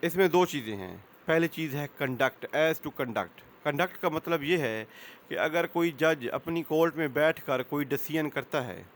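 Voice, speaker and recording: Pakistani guy, voice a bit on the high side, lawyer doing an explainer lecture, drops in english terms. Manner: switches between Urdu and English legal terms. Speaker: lawyer giving a law lecture.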